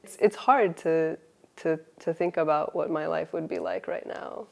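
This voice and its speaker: Low, gravelly young woman. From Finland. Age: 20s. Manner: laughs often, halting restarts. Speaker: interviewee. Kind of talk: casual interview, personal story.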